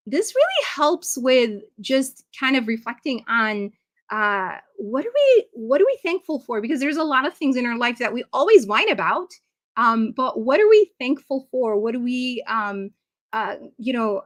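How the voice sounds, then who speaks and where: A woman's voice: on the high side, a bit bright. A woman from Iraq presenting a live parenting webinar in a conversational, encouraging way, with frequent ums and uhs.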